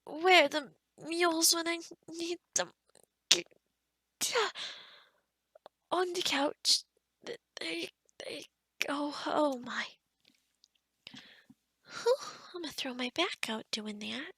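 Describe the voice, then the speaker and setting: A kind but flustered forest witch, played by a woman speaks in a high voice with some creak in it. She is chatty and scatterbrained, stammering and dropping into whispers as she talks to the listener. The ASMR roleplay is recorded dry, without room sound. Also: sharp tone, dry recording, heavily acted. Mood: flustered, straining.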